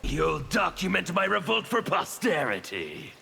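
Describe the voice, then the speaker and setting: Casting: a scarred revolutionary zealot for a fighting game, forceful gravelly bite, highish pitch, declamatory and threatening, long reverb. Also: male voice, very animated, unhurried, full character voice. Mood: menacing. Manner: menacing, theatrical, declamatory. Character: fanatical burned male anarchist revolutionary villain. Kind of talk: theatrical video game character acting. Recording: long reverb, faint background noise.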